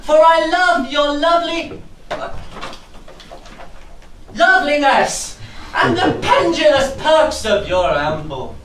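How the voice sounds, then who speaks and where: Lovesick bumbling poet guy, high voice, slow gushy rhymes, costume comedy, echoey and far off. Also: lively intonation, a bit bright, exaggerated voice. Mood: gushing. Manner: gushing, declamatory verse with flustered stammering. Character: florid, lovestruck, hapless male poet suitor, overwrought and bumbling. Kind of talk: comic costume-drama stage acting in rhyme. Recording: echoey stage, far from the mic.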